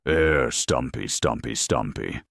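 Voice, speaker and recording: Man voicing a huge gravelly ork boss. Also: extremely low pitch, slow, fairly bright tone, no echo, studio quality, full character voice. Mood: taunting croon. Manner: rough cockney-style dropped-letter slang. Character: huge gruff ork boss, bellowing, cocky schemer. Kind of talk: audio drama character voice.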